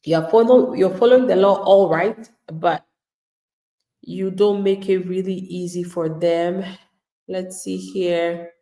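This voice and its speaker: A woman's voice: fairly low pitch, fairly even intonation, takes it slowly, mellow, roomy sound. A woman immigration attorney giving live legal advice to viewers in an emphatic, plain-spoken way, acting out the replies of people she describes.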